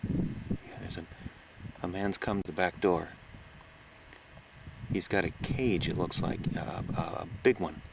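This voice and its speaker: Man acting a gravelly, low-voiced frightened reporter. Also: exaggerated voice. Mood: tense, watchful. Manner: halting, stammering, gasping exclamations. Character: frightened male reporter recording his secret night stakeout. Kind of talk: found-recording horror audio drama monologue. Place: outdoors on a farm. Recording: noisy outdoor location recording.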